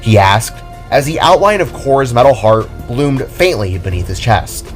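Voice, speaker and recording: A man's voice: medium pitch, animated, a little slow. A man narrating a scripted audio drama as storyteller. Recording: background music under the voice.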